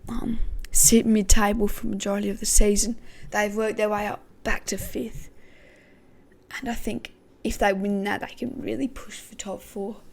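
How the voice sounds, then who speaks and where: A woman hosting a casual football podcast, her voice croaky, thinking aloud with many hesitations. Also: mid-range voice, flat delivery, moderate pace. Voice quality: gravelly. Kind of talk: casual pre-match football talk.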